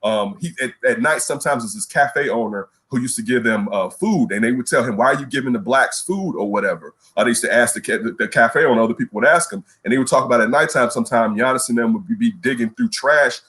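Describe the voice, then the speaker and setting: A man co-hosting a casual sports talk show, ranting in an animated way and repeating words when he gets worked up. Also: mid-range voice, quick pace, few pauses. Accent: Chicago. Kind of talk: casual radio show rant.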